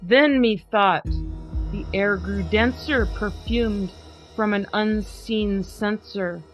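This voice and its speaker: Slow dramatic woman reading poetry. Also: medium pitch, soft background music, poor audio. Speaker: podcast host. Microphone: video call.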